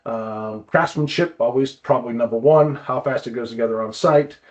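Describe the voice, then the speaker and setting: A man's voice: mid-range voice, moderate pace, dull audio. A man speaking as a trade expert guest in a casual interview, explaining practical details and often repeating words as he goes. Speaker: guest, climbing wall builder and consultant.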